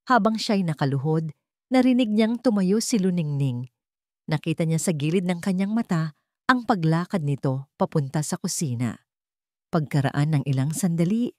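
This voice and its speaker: A woman reads a dramatic story aloud in a calm, measured voice pitched on the low side. The sound is dry and close, with no room around it. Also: no echo. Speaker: narrator. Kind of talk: scripted storybook narration.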